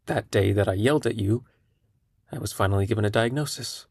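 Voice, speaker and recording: A man playing a terminally ill young patient in an intimate audio drama, speaking in a husky, creaky voice with a halting, understated manner. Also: lowish voice, darkish tone, dry recording. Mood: hesitant, subdued. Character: young terminally ill male hospital patient, numb, gently self-deprecating.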